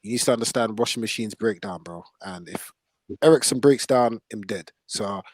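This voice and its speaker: A man's voice: lowish voice, moderate pace, no echo. Man hosting casual football chat, dry sound. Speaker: podcast host.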